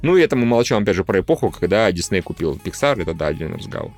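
A man with a low voice hosts a casual podcast. He talks fast in blunt, informal slang, with music playing behind him. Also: sing-song, a little mellow. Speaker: podcast host. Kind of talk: casual podcast discussion of animation.

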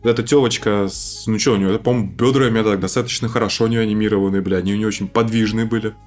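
A man's voice: fairly low pitch, steady tone, rapid-fire, a little mellow. A man co-hosting a casual podcast, telling things in a rambling, hesitant, slangy way. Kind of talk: casual chat. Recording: music behind the talk. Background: music.

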